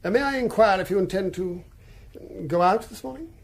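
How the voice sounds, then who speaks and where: An actor playing a formal, deferential Victorian manservant with an English accent in a period radio drama. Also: male voice, high voice, fairly quick. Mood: deferential, probing. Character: deferential, probing Victorian manor butler.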